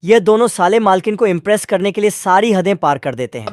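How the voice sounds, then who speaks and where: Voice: medium pitch, animated, fast talker, faint music behind. A narrator telling comic scripted stories over cartoon clips in a crude, slangy way.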